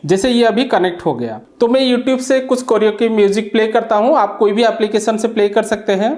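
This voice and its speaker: A woman's voice: a little high, moderate pace, darkish tone. A woman with an Indian accent hosting a tech channel, narrating an unboxing in a casual review style.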